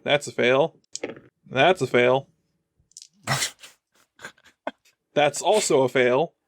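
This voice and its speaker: A man's voice: medium pitch, very slow, dry recording. A man talking casually as a player in a tabletop role-playing game, reporting his rolls to the group. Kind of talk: casual chat while rolling dice.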